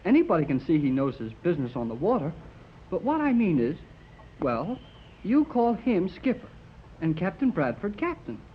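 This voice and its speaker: A young-sounding actor playing an eager, earnest, boyish amateur radio operator in a 1930s radio serial. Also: male voice, sits high, moderate pace. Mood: boyish, bashful. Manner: excitable, earnest, gee-whiz. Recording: dull, noisy 1930s radio recording.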